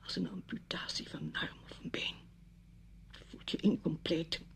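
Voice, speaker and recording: An actress playing a proud, grieving middle-aged widow in a radio play, sardonic, weary and defensive. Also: female voice, normal speed, fairly bright tone, whispered, lightly acted. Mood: bitter grief. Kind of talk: Dutch radio play acting.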